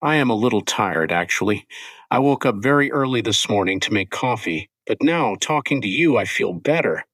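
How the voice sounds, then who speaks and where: A man co-hosting an English-learning podcast, speaking in clear, simple scripted sentences. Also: on the low side, expressive, moderate pace, fairly bright tone, no room sound. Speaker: podcast co-host.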